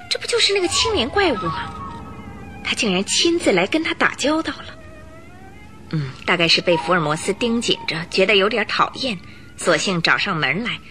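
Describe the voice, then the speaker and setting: A woman narrating a radio drama as a lively storyteller, tossing in rhetorical asides. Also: medium pitch.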